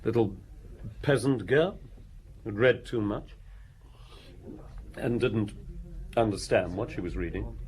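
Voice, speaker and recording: An actor playing an aloof, drily mocking gentleman in a radio drama, with curt, evasive answers. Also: on the low side, slow pace, pauses often, rough recording. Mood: dry.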